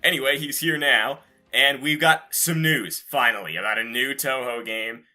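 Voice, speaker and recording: A man's voice: mid-range voice, moderate pace, pushed and bright, faint music behind. A man hosting a podcast, chatting casually about game news in an informal, rambling, casually profane way. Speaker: podcast host.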